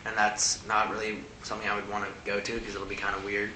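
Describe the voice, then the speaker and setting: A man's voice: completely flat delivery, quick pace. A teenage boy chatting casually in a roundtable discussion, slangy and full of "like". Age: teens. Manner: slangy, peppered with "like". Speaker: teen discussion panelist. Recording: echoey room with faint rumbly noise.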